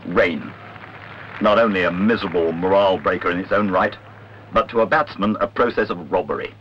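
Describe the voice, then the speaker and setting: A man reading a literary documentary narration in a measured, wry way. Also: low voice. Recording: dull lo-fi old film soundtrack, clear noise.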